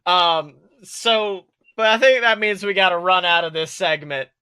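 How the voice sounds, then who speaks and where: Bright, excitable man in casual chat. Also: sits high, measured pace, smooth, no echo, low-quality recording. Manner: excitable, exclamatory, swearing freely. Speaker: podcast co-host. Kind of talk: casual chat about an animated film.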